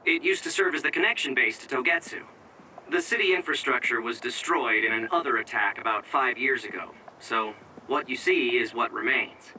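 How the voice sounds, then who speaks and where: An actor in an English anime game dub playing an easygoing young operator who directs a platoon over headsets. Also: male voice, mid-range voice, normal speed, pushed and bright, far from the mic, a little hiss or hum, rough recording. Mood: casual, explaining. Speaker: mission operator.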